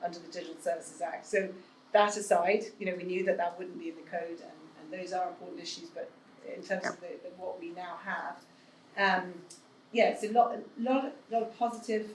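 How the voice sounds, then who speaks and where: A woman talks earnestly on a live panel with a low voice, often pausing on fillers. She holds a handheld mic, and the room rings with noticeable echo under a faint ambient hum. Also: steady tone. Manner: earnest, measured, with many hedging fillers. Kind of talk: panel discussion. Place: event room with an audience.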